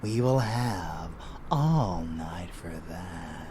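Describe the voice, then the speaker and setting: Man playing a low, slow, seductive vampire lover. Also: lively intonation, noisy background, heavily acted. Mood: teasing, seductive. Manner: breathy whispering murmurs, slow and deliberate, teasing. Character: rebellious male vampire lover, seductive, haughty, menacing. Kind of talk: intimate ASMR audio roleplay.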